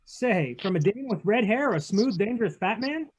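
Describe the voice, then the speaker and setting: A man acts a hard-boiled private eye in a 1940s radio mystery. The voice is high for a man, with a terse, sardonic delivery. He comes across as tough, wry and impatient. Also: lively intonation, normal speed, breathy edge. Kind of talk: 1940s radio drama read-through with narration. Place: video call read-through.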